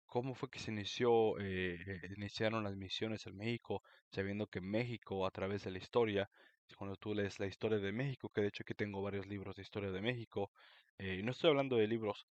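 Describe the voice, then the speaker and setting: A man's voice: fairly low pitch, no echo. A Mexican-American man from Florida talking casually and unhurriedly, with many small fillers, about a history book. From United States (Florida), Mexican roots.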